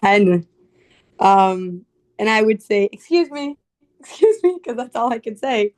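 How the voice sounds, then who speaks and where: Brazilian-accented young woman, relaxed. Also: a little high, measured pace. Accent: Brazilian Portuguese. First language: Portuguese. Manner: relaxed, uses "like" as filler. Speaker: co-host. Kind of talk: casual teaching conversation.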